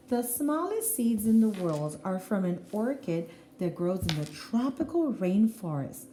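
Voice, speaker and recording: A woman teacher reading a children's picture book aloud in an expressive, deliberate way, with explanatory asides to young listeners. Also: mid-range voice. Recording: roomy echo, faint background noise.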